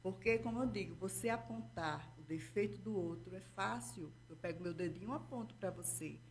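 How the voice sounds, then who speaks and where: A woman's voice: lowish voice, normal speed, a little hiss or hum. A woman speaking Brazilian Portuguese, a therapist explaining patiently and didactically, checking in often with her listener. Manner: didactic, checks in with frequent "tá?" and "entendeu?". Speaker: guest therapist. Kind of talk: explanatory interview talk.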